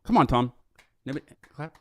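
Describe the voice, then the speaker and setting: A man's voice: mid-range voice, animated, brisk, very noisy. A man casually hosting an interview show, rambling and correcting himself as he goes. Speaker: host of a music interview show.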